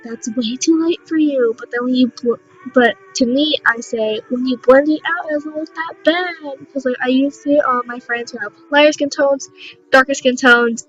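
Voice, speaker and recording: Raspy, higher-pitched young woman. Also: highs cut off. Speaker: makeup tutorial vlogger. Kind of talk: casual makeup tutorial narration.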